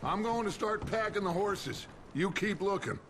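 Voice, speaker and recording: An actor playing a charismatic, theatrical American outlaw gang leader in a video game, with a deliberate, persuasive delivery. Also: male voice, high-pitched, lively intonation, background noise. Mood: calm, authoritative. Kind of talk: video game cutscene acting. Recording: loud music behind the voice.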